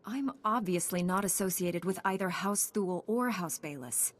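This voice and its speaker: A woman's voice: on the low side, moderate pace, natural voice. An actress playing a principled female knight in a video game, composed and direct. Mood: composed, firm.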